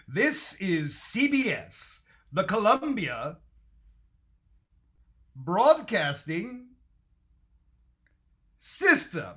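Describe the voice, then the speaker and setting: A male announcer in an old-time radio style, with a bright, fairly high voice. He speaks slowly and formally, with theatrical weight. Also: very animated.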